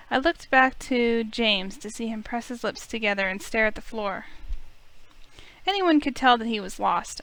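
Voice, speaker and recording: A woman reading a visual novel aloud as an expressive first-person story, with music underneath. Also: fairly high pitch, no echo, low-quality recording.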